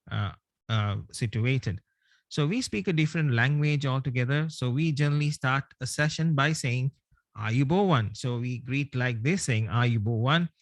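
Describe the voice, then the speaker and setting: A man's voice: medium pitch, no room sound. A man from Sri Lanka, giving an online presentation over a video call with many hesitations. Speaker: guest speaker.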